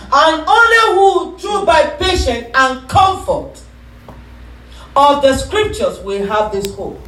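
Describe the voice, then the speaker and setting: A woman pastor preaching a sermon, calling for responses and rising into shouts. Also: high-pitched, far from the mic. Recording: echoey room, voice from off-mic.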